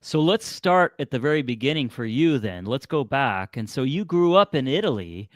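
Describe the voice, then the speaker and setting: A middle-aged man from California hosting a podcast, asking relaxed questions in a casual interview. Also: mid-range voice, moderate pace, no room sound, studio quality. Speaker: podcast host.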